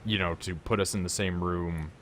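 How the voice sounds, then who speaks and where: A man's voice: low-pitched, fairly even intonation, normal speed, a bit bright, slight background noise. A Canadian man hosting a live gameplay stream, chatting casually and ranting his opinions with lots of fillers. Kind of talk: casual chat while playing.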